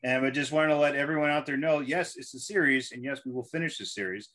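A man, a guest author, chatting casually about writing in a halting way, often repeating words. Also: medium pitch, a bit bright.

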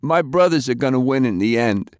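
Man acting a defiant captive thug, strained and animated. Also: medium pitch, a little slow, no echo, clean studio sound, voice pushed up, close to an everyday voice. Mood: tearful, fanatical. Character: defiant, frightened male American thug held prisoner.

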